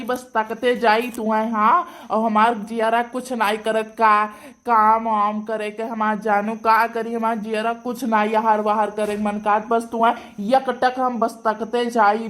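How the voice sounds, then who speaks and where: A woman's voice: on the high side, fairly bright tone. A woman voicing a lovestruck, devoted young man in an amateur cartoon, sweet-talking repetitively with an Awadhi accent.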